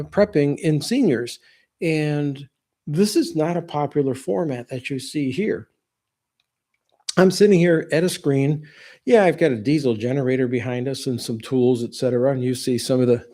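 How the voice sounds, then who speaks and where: A man's voice: a little high, warm tone. A man of about sixty hosting a casual livestream, talking in a plainspoken, folksy way and rambling into asides. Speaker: livestream host.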